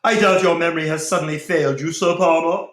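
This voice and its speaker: A man voicing a haughty, sneering Templar knight from a storybook, boastful and quick to anger. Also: on the high side, fairly even intonation, a bit bright, pitched above the performer's own voice, lightly acted. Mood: haughty challenge. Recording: roomy, echoey room.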